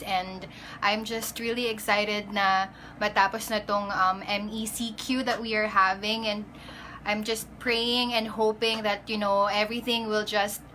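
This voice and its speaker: Bright-voiced Filipino woman chatting on a livestream. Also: a little high, a little hiss or hum, low-quality recording. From Philippines. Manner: chatty, greets viewers by name, mixes in Tagalog. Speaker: pageant candidate. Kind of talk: casual livestream chat.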